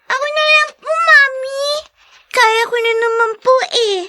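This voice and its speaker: A woman's voice: very high voice, unhurried, sharp tone, dry recording, full character voice. A woman voicing a polite, eager seven-year-old girl in a Filipino radio drama, speaking with a Filipino accent. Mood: polite pleading. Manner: polite, pleading.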